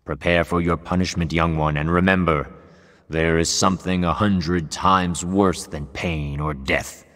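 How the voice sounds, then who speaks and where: A man's voice: low-pitched, fairly even intonation, measured pace, fairly bright tone. A man playing a stern, ominous elder caterpillar in a video game, speaking in solemn, formal admonitions. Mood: severe, merciless. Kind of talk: theatrical video game character dialogue. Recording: long cavernous reverb.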